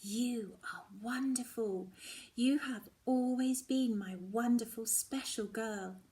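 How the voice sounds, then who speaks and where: A woman voicing a gentle, earnest mother who speaks in a soft, breathy, soothing and hushed manner in a storybook reading. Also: a little high, takes it slowly. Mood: tender, earnest. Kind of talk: dramatic children's novel reading.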